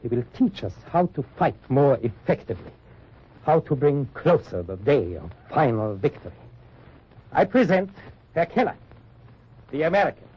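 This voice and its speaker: A man's voice: medium pitch, very animated, moderate pace, pauses often, breathy edge. A man playing a pompous German double agent posing as a resistance leader, making grandiose speeches in an old radio drama. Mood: falsely stirring. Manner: grandiose, declamatory. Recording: muffled old radio recording.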